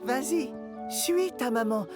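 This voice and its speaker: A woman voicing an eager, kind-hearted young steam engine in a children's cartoon, with an earnest, expressive delivery. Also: high voice, takes it slowly. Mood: eager, tender. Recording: music under the voice.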